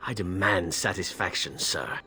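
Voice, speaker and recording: A man's voice: deep voice, unhurried, pushed and bright, a little hiss or hum, heavily acted. A man playing a proud, stern, honour-bound knight in a video game, formal and declamatory. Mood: accusing. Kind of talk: video game character acting.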